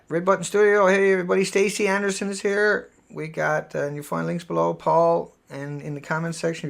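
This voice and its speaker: A man's voice: fairly high pitch, vocal fry. A middle-aged man hosting a live stream, talking casually and emphatically, rambling and repeating himself.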